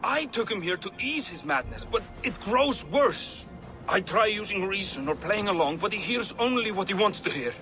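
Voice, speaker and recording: An actor playing a weary, gruff son in a video game, blunt and exasperated. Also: male voice, high-pitched, animated, normal speed, over music. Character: gruff, weary son tending his senile father. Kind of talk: video game cutscene acting.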